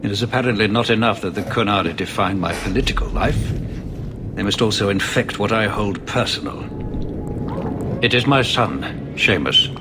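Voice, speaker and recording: A man plays an anxious, weary nobleman and father in a fantasy video game. His speech is formal and theatrical, with very lively intonation. Clear background noise surrounds the voice. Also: lowish voice, moderate pace, close to an everyday voice. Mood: bitter, weary. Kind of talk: dramatic fantasy game dialogue.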